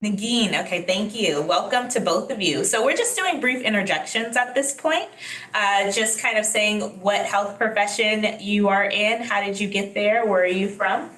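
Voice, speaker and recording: A woman's voice: medium pitch, normal speed, a bit bright, roomy sound. A woman moderating a student panel, polite and somewhat hesitant, over a video call.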